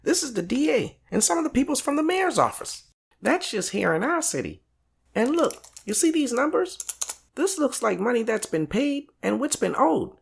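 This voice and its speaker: A man playing an excitable, loyal streetwise buddy in a crime audio drama, talking in animated, incredulous slang. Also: very high-pitched, moderate pace, vocal fry, breathy edge, full character voice. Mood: alarmed, excited.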